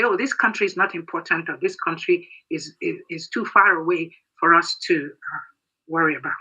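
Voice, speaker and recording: A man in his sixties from Nigeria giving an earnest, emphatic prepared speech as a guest, halting now and then. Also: high voice, sharp tone, lo-fi sound. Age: 60s. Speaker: guest speaker.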